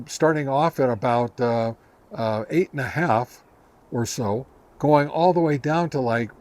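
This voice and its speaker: Casual, rambling man reviewing radio gear. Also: mid-range voice. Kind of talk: casual product test review.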